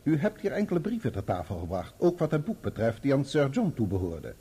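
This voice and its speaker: A man acting in a radio play as a sharp, insistent barrister, formal, pointed and sardonic as he questions a witness. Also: medium pitch, huge pitch swings, a little fast, slightly rough. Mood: probing, formal. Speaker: actor playing a lawyer.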